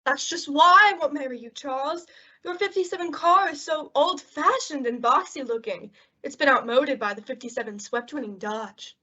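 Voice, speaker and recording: A woman's voice: high voice, normal speed, bright, forceful tone, muffled recording. A woman playing a picky, haughty sweetheart in a melodramatic 1950s-style radio commercial. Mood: haughty refusal.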